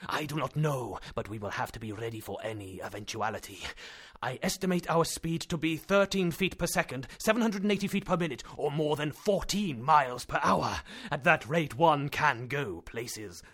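A man voicing a calm older professor who speaks in a slow, measured, confident way. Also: a little high, breathy edge. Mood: explaining. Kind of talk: audiobook character reading.

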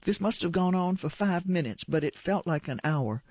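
A woman reading aloud as an older widowed woman recalling fighting a fire, in a plain steady way. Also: lowish voice, moderate pace, dry recording. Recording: dull lo-fi radio recording.

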